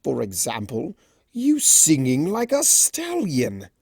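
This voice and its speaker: A performer, a man, voicing a flamboyant, teasing male chimera trickster from a cartoon fan story, with theatrical drawn-out emphasis. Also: mid-range voice, huge pitch swings, vocal fry, a little mellow, slightly rough, no echo, studio quality, pitched above the performer's own voice, exaggerated voice. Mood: playful teasing.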